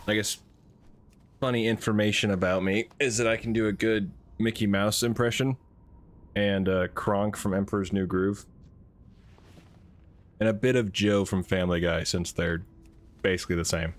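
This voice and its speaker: A man with a naturally deep voice chatting casually while playing a game, rambling and laughing at himself. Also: steady tone, moderate pace, pauses often. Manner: rambling, self-amused, laughs often. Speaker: game streamer. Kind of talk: casual gaming commentary.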